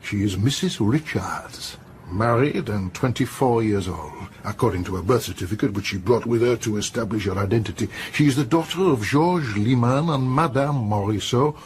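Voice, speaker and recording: An actor playing a middle-aged police investigator in an audio drama, formal and explanatory. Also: male voice, medium pitch, moderate pace, slight background noise, lightly acted. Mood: informative. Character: brisk, official French police investigator, middle-aged man.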